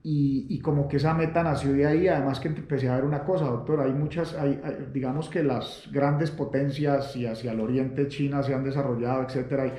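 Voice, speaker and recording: A Latin American man hosting an interview, talking sincerely and haltingly with many fillers. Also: medium pitch, fairly even intonation, warm tone. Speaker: host. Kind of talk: interview conversation. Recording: roomy echo.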